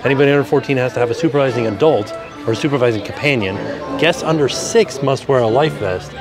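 A man's voice: mid-range voice, fast talker, music in the background. A man giving informative vlog commentary outdoors at a theme park. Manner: informative, reading rules aloud. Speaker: vlogger.

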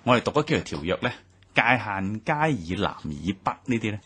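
A man's voice: fairly low pitch, big pitch swings, fairly quick, a bit bright, breathy edge. A man from Hong Kong who hosts a radio history discussion, speaking with a Hong Kong Cantonese accent and often answering his guest with short agreeing sounds. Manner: frequent brief agreeing backchannels. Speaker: radio host.

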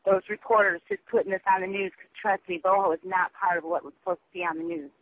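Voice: medium pitch, steady tone, fast, slight background noise. A person leaving a rambling, urgent voicemail over the phone, repetitive, emphatic and prone to swearing. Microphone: telephone. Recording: muffled, dull phone voicemail.

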